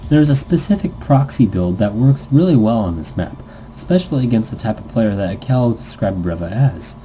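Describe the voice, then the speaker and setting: A man's voice: medium pitch, mellow, slight background noise, rough recording. A man casually reading a visual novel game aloud, stumbling over lines and tossing in goofy asides.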